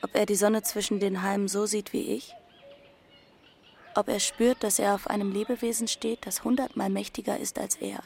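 A woman's voice: mid-range voice, steady tone, normal speed, vocal fry, darkish tone, slight background noise, natural voice. A woman playing a defiant teenage runaway girl who narrates her journey matter-of-factly in an audio drama. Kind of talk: first-person literary narration in audio drama.

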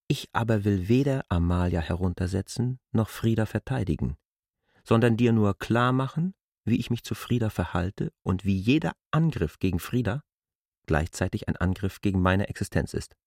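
An actor playing an argumentative, self-justifying land surveyor who reasons deliberately and formally in a literary radio drama. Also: male voice, lowish voice, lively intonation, darkish tone, dry recording, studio quality. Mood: insistent, reproachful.

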